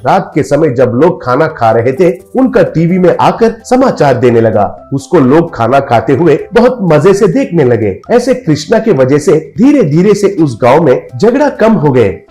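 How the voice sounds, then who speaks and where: A man's voice: on the high side, big pitch swings, unhurried, hardly any pauses, dark tone. A man narrating a scripted moral story for children as a storyteller. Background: music.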